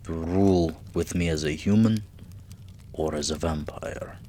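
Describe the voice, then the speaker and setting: A man playing a tender, devoted vampire king in a romantic ASMR roleplay, speaking in a hushed, breathy, soothing way that often slips into a whisper. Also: a bass voice, slight background noise. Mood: tender, earnest. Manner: hushed, slow, soothing, affectionate.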